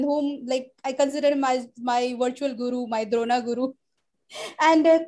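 High-voiced woman interviewer. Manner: reads long, formal questions. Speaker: podcast interviewer. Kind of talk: prepared interview questions.

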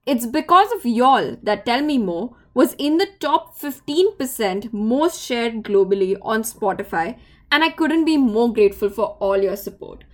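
A woman's voice: fairly high pitch, expressive, a little slow. A woman hosting her podcast, reading a grateful intro and then asking questions. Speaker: podcast host. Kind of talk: scripted intro, then interview.

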